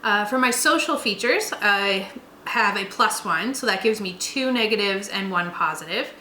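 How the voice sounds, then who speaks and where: Bright-voiced woman chatting in an echoey room. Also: mid-range voice, expressive, moderate pace. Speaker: co-host of a tabletop roleplaying channel. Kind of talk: casual chat. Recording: roomy echo, faint background noise.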